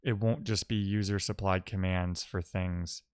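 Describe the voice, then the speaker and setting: Male tech streamer, smooth low voice, casual and explanatory, thinks out loud. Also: steady tone, a little slow, no room sound, studio quality. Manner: musing, explanatory, poses questions to himself. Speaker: live-coding streamer. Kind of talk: casual technical talk, thinking aloud.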